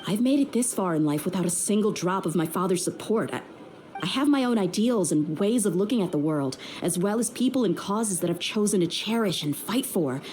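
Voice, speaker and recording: A woman's voice: mid-range voice, animated, fairly quick, darkish tone, slight background noise, lightly acted. An actress playing a tough, candid young female mercenary who speaks in a direct, earnest, polite way, over game music. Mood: defiant.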